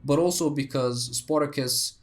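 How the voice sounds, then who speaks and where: A man's voice: on the high side, measured pace. A young man, a native Bulgarian speaker, talking through history on his solo podcast, thoughtful with many fillers and restarts. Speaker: podcast host.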